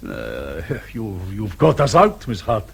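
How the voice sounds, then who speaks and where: An actor playing a courteous, deliberate police colonel in a radio drama, gently sardonic and measured with hesitations. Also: male voice, fairly low pitch, huge pitch swings, creaky, breathy edge, full character voice. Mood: wry, conceding.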